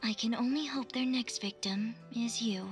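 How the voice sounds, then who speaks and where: A woman's voice: on the high side, normal speed, faint music behind, close to an everyday voice. An actress playing an aloof, logical young female artificial intelligence in a video game, speaking in a formal, clipped, detached way. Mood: cold, guarded. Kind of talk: video game cutscene voice acting.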